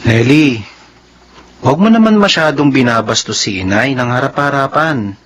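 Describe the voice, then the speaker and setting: An actor playing a conciliatory, gently reproachful adult son in a Filipino radio drama. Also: male voice, medium pitch, animated, normal speed, music in the background, natural voice. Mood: pleading, reproachful.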